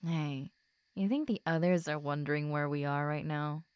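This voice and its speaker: A woman playing a tipsy, giddy, lovestruck schoolgirl in an intimate roleplay audio drama, with soft murmurs and a drawn-out, affectionate delivery. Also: low voice, smooth, dry recording, close to an everyday voice. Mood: adoring, teasing.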